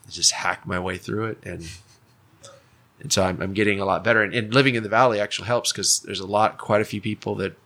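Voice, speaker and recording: Low, creaky-voiced man telling his story. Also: steady tone, quick pace, pushed and bright. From United States, raised partly in Mexico City. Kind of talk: casual personal storytelling.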